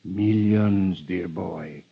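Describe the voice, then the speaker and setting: An actor playing a suave, ruthless criminal mastermind with courtly, flowery politeness, flattering and menacing in a golden-age radio drama. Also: male voice, deep voice, drawn-out words, gravelly, slightly rough, full character voice. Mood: oily, persuasive. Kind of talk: 1950s radio drama acting. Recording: dull vintage radio recording.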